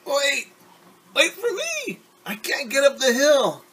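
A man's voice: extremely high pitch, huge pitch swings, slow, fairly bright tone, a little hiss or hum, pitched above the performer's own voice, full character voice. A man voicing a vain, whiny young girl in a storybook, pleading and protesting insistently.

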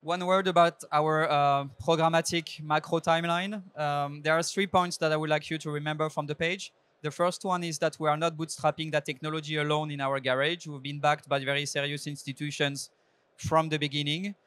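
Man pitching his startup. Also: fairly quick, smooth, no room sound. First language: French. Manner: earnest, steady, with frequent fillers and restarts. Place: indoor trade show stage. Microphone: handheld microphone.

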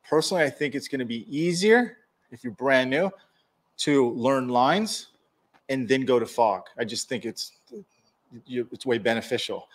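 A man in his forties in a garage workshop, casually explaining dent repair tools to a live audience. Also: medium pitch. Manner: casual, hands-on, chatty with viewers. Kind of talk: live-stream tool demonstration.

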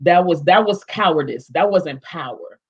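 A woman's voice: lowish voice, moderate pace, husky. Big-voiced woman on a dull call. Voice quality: big expressive voice. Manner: emphatic, direct, many fillers and restarts. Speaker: panelist. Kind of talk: panel discussion on video call. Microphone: video call. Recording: dull lo-fi video call audio.

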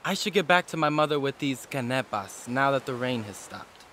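An actor playing a friendly, talkative young village boy with a gentle, chatty manner in an audio drama. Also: medium pitch, expressive, moderate pace, a little hiss or hum.

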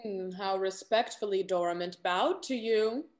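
A woman's voice: mid-range voice, measured pace, darkish tone, lightly acted. A young woman playing a needling society confidante in a period stage comedy, arch and theatrical. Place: indoor room, video call.

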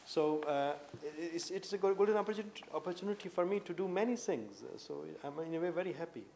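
A man in his late thirties from India, with an Indian accent, answering interview questions earnestly with many halting fillers and restarts. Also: high-pitched, animated, vocal fry, a little mellow, slight background noise. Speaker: poet guest. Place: indoor room with tapestries and a sofa.

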